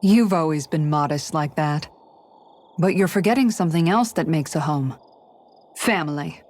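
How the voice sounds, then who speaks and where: An actress playing a composed, earnest, idealistic female knight, speaking in a measured way in a video game cutscene. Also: low voice, darkish tone. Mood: earnest, thoughtful. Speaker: voice actor in a fantasy video game.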